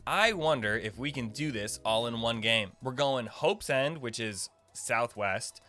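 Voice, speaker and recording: A man's voice: mid-range voice, measured pace, sharp tone. A young man giving casual, informal game guide commentary, rambling and thinking aloud as he plays. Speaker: gaming video creator. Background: faint game music.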